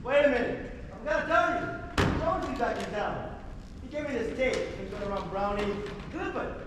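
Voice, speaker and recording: Young man as an excitable high-voiced musician, echoey hall. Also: moderate pace. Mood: excited. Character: chatty, excitable jazz musician buddy. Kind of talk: theatrical stage acting. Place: school theatre stage. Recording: echoey hall, distant mic, noisy.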